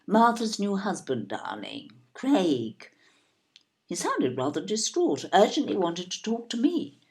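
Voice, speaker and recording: A woman's voice: mid-range voice, big pitch swings, slow, gravelly, a little mellow, full character voice. A woman playing a snobbish, opinionated older English upper-middle-class wife in an audio play, with a haughty, disapproving, plummy manner. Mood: flustered, chatty.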